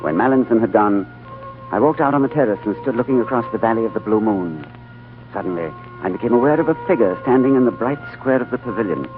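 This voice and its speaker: A man playing a composed, thoughtful gentleman in a 1940s radio drama, urbane and reflective. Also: mid-range voice, fast talker, close to an everyday voice. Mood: hushed, reflective. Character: composed, thoughtful gentleman diplomat, narrating his adventure. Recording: old narrow-band radio, music behind.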